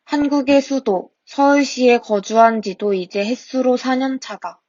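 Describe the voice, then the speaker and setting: A woman in her twenties, a student podcast host, reading her own personal essay aloud in a soft, reflective way, now and then stopping to correct a word. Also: sits high, a little slow, no room sound. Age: 20s. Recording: dry, dull lo-fi home mic.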